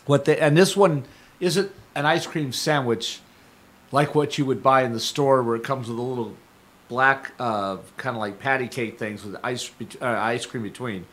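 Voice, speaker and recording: An older man hosting a community radio show from a studio, talking casually in a rambling, digressive way while his voice is going hoarse. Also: mid-range voice, steady tone, normal speed, a bit bright. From Stockton, California. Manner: rambling, digressive, self-correcting. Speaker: radio host. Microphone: studio microphone.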